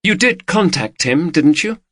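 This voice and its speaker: A man's voice: on the high side, expressive, a little slow, no room sound, pitched above the performer's own voice. List: man putting on a frightened woman, tearful, anxious, dry close sound. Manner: anxious, pleading, rising to shouts. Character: frightened, tearful woman confronting her partner.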